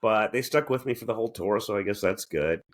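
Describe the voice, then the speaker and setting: A man with a fairly low voice, talking as a podcast co-host. His delivery is casual comedic banter, teasing and playfully full of himself. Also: fast. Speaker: walking tour guide.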